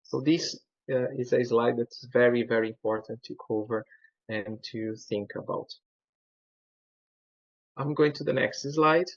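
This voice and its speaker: Brazilian-accented male presenter, non-native speaker, halting delivery with lots of fillers, dry muffled video call sound. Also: medium pitch, steady tone, creaky, dry recording. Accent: Brazilian Portuguese. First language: Portuguese. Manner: halting, many fillers and restarts. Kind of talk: webinar presentation.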